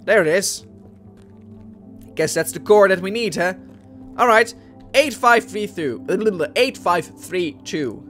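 Man, dutch accent, high-pitched, chatty let's play commentary, faint music behind. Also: expressive, slow, pauses often, a bit bright. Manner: chatty, thinks aloud, reads game text aloud. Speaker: gaming video host. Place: home room at a desk. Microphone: headset.

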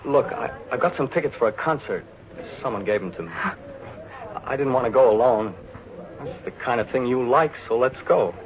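An actor playing a brash, impulsive, cynical suitor with a blunt, clipped manner in a 1940s radio drama. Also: male voice, mid-range voice, expressive, moderate pace, crowd noise, close to an everyday voice. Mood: awkward. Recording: dull, faintly noisy 1940s radio recording.

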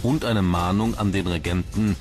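A man reading scripted documentary narration in a measured, formal manner. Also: low voice, fairly even intonation. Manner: measured, formal read delivery. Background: background music.

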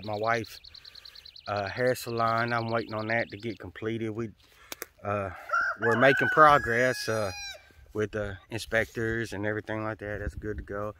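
A man talks into a phone held at arm's length, rambling folksily with plenty of uhs. His voice sits somewhat low, and roosters and hens can be heard around him in the open farmyard. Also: fairly bright tone. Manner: rambling, many fillers, folksy Southern talk. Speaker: vlogger. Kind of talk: casual outdoor vlog. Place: outdoors in a farmyard with chickens.

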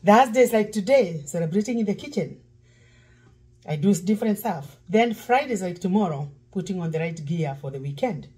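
A woman's voice: mid-range voice, animated, moderate pace, warm tone, husky. A middle-aged woman pastor, preaching while cooking in her home kitchen, breaking into song now and then. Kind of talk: cooking demo sermon. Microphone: fixed camera across counter.

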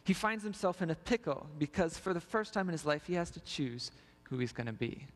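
A young man delivers a storytelling sermon in a slightly high voice. His words ring out with the long reverb of a large church sanctuary, picked up by a body mic as he walks the stage. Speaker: preacher.

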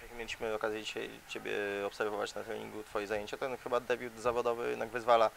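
A man's voice: on the low side, completely flat delivery, quick pace, vocal fry, background noise. A male sports interviewer asking long, leading questions with frequent fillers, recorded in an indoor gym.

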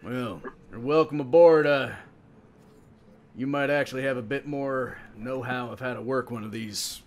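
Gruff, irritable ship hand played by a man, mid-pitched, for a tabletop game. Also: moderate pace, a little hiss or hum, natural voice. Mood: exasperated.

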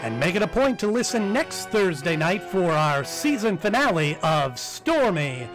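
A man's voice: high voice, big pitch swings, unhurried, music in the background. An older man announcing in old-time radio style, delivering formal, emphatic sponsor reads from a home office. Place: home office, on a video call.

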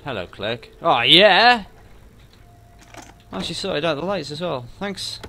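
A man's voice: a little high, animated, moderate pace, gravelly, a bit bright. A man giving casual, jokey gameplay commentary, chatting to the characters as he goes. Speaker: video game streamer. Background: game sounds and music.

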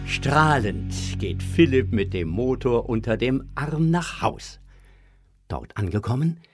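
A man's voice: medium pitch, animated, slow pace, faint music behind. A man narrating a scripted audio drama for children.

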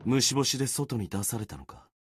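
A man voicing a gloomy, self-deprecating young warrior sword spirit in a video game, brooding and resigned, with a husky voice. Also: animated. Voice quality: low, husky. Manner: brooding, resigned, muttering with trailing pauses. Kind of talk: Japanese video game character acting.

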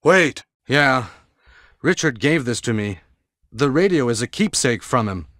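A swooping, well-meaning action-game teammate. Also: male voice, medium pitch, expressive, measured pace, a bit bright. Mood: helpful. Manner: stilted, exaggerated swooping delivery. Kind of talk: 1990s video game voice acting. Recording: long hollow reverb.

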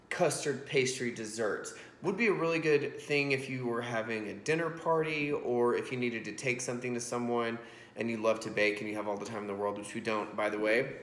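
A man's voice: mid-range voice, a bit bright. A man in his thirties hosting a casual cooking vlog, explaining as he goes with a self-deprecating streak. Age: 30s. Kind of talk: casual chatty cooking commentary. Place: home kitchen, indoors. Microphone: iPhone propped on a stack of books. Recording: roomy, echoing kitchen sound.

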